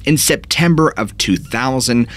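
Man narrating with lively, dramatic pitch. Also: a little slow. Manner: dramatic storytelling with sweeping rises and dips. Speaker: host. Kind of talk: scripted narration of paranormal stories. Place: small dark home studio. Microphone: studio mic in front of him.

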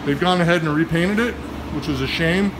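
A middle-aged man with a slightly high voice talks eagerly while he walks through a large indoor machine room. He holds a phone close to film as he goes. A steady hum of machinery runs clearly behind him. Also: fairly quick. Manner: enthusiastic, admiring old equipment. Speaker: HVAC service manager. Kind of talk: casual walkthrough narration. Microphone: phone camera held in hand.

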